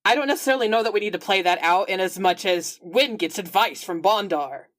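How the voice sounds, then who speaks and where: Woman player, mid-pitched, casually describing. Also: animated, fairly quick. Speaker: tabletop roleplaying game player. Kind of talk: casual description of a character's actions.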